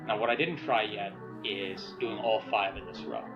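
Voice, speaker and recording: A man's voice: fairly low pitch, normal speed, highs cut off, over loud music, natural voice. An actor playing a curious, fixated man who narrates his video game in a musing, explanatory way. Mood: puzzled, reasoning. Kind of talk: natural found-footage let's-play narration.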